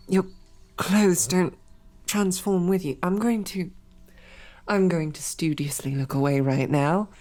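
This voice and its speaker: A woman's voice: fairly low pitch, a little mellow, exaggerated voice. A woman voicing a captive young woman knight who talks in a dry, formal, wryly understated way, in an audio roleplay drama. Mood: flustered. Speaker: voice actor.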